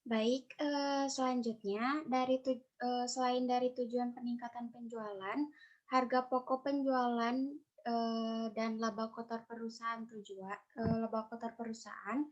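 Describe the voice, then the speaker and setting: Halting young woman, higher voice, muffled. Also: flat delivery. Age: young adult. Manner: halting, frequent hesitations. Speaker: student presenter. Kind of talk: class group presentation. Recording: dull, lo-fi audio.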